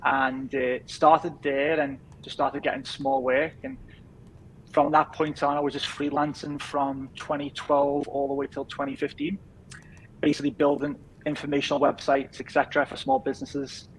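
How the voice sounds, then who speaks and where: A man in his thirties with a softened Liverpool accent talks in an easygoing, rambling way, with plenty of "uh"s. His pitch stays fairly level, with little rise and fall. A faint hiss sits underneath. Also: flat delivery, moderate pace, rough recording. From England. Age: 30s. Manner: easygoing, rambling with many fillers. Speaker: podcast guest, business founder. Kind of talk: casual interview chat.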